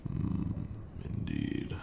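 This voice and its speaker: A man playing an ancient, haughty vampire lord and fond mentor in a slow, theatrical ASMR roleplay monologue, murmured in a deep, gravelly voice. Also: echoey room, noisy background. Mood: patient, instructive. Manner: theatrical, drawn-out, condescending.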